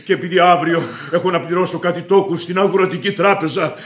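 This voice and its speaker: Hot headed landowner in an old radio play, highish voice, ranting and indignant. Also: male voice, a bit bright. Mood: polite, pressing. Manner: exclamatory, repetitive ranting. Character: hot-tempered, indignant landowner creditor, loud and blustering. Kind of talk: classic Greek radio theatre acting.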